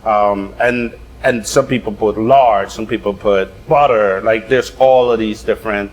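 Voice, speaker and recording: A man from Guyana, a chef chatting casually and telling a lively story with quick bursts. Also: on the low side, poor audio. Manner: animated storyteller, quick bursts, laughs often. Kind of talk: casual chat.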